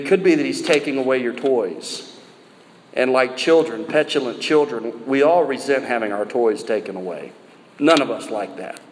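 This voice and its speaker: Middle-aged American preacher in a reverberant hall. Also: male voice, medium pitch. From United States. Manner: emphatic, conversational, rhetorical questions and repetition for emphasis. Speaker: pastor preaching to a church. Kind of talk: sermon. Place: large indoor room, live sermon. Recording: roomy hall reverb, some background noise.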